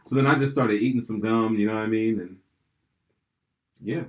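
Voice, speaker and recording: A man's voice: lowish voice, normal speed, low-quality recording. A young man from Pennsylvania hosting a podcast, telling rambling stories in casual, profane chat. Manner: profane, rambling, animated storytelling. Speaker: podcast host.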